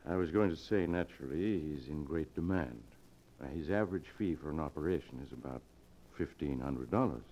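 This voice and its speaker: An actor playing a grave, formal doctor in 1940s radio drama style, solemn and measured. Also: male voice, a bass voice, a little mellow, a little hiss or hum. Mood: formal, careful. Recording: muffled 1940s radio recording.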